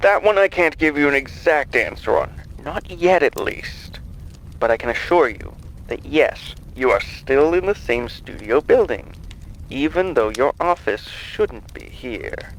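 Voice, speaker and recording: Audio drama villain: gleeful mocking mastermind, slow theatrical delivery, swooping higher-pitched voice, falsely soothing. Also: male voice, lively intonation, slight background noise, low-quality recording. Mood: coy, smug. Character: gleeful, mocking, sinister male mastermind on the phone.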